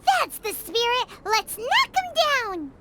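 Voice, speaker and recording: A woman's voice: extremely high pitch, very animated, slow, pushed and bright, slight background noise, heavily acted. A woman voicing a tiny, cheeky, childlike fairy companion in a video game, perky and cheerful. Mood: alarmed, feisty. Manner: speaks of self in third person, perky.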